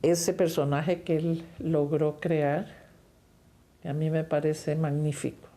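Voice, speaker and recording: An older woman from Costa Rica, a film director, talking about her work in a reflective, unhurried interview. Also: low voice, level tone, mellow.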